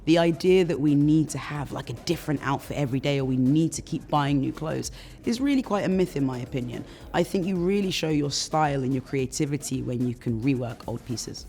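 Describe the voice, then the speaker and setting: A woman stylist and judge speaking calmly and thoughtfully to camera. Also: deep voice. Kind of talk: promo interview to camera.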